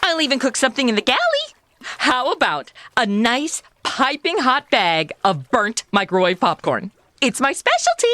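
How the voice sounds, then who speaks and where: Lady acting a sassy clueless young woman, high swoopy voice, flippant slangy comedy drama. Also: big pitch swings, normal speed, bright, forceful tone, dry recording. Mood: whiny, indignant. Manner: flippant modern slangy delivery. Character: chatty, oblivious, sassy modern young woman time-traveller.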